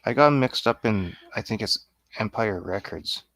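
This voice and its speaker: Male film-show panelist, fairly low voice, short matter-of-fact interjections, conversational. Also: expressive, moderate pace, a bit bright, dry recording. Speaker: co-host or guest. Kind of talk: casual chat, film review.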